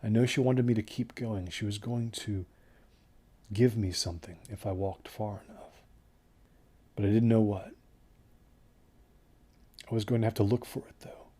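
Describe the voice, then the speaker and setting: An actor playing a traumatized, exhausted man recounting a doomed desert trek in a halting, hushed horror audio drama narration. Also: on the low side, steady tone, slightly rough. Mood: dazed, hushed. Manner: halting, hushed, haunted delivery.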